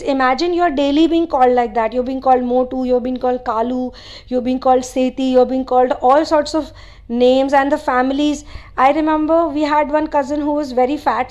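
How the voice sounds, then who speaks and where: A woman's voice: sits high, a little mellow. Chatty Nepali-born woman spiritual channeler. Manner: chatty, rambling, many "you know" asides. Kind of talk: casual spiritual talk to viewers.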